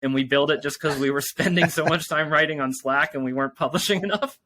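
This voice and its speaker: A man's voice: a little high, fast, pushed and bright. A man speaking as an interview guest in a casual, rambling, informal way. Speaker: tech company founder and interview guest.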